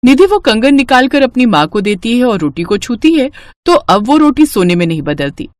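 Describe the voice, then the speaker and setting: A woman narrating a children's cartoon story in a calm, scripted storytelling manner. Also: fairly high pitch, normal speed, no room sound.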